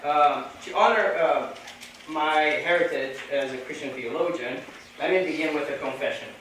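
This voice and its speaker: A man from Brazil with a Brazilian Portuguese accent, Portuguese being his native language, gives a measured academic talk from a podium at a conference. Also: a little high, a bit bright, a little hiss or hum. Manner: measured, deliberate delivery with pauses. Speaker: conference speaker. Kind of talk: academic lecture. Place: large echoey lecture hall. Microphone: podium microphone. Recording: echoey hall, distant mic.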